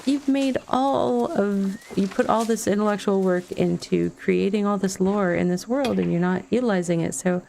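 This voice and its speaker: A woman giving casual let's play commentary and chatting with viewers in a rambling, halting way. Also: mid-range voice, vocal fry, mellow, a little hiss or hum. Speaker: game streamer.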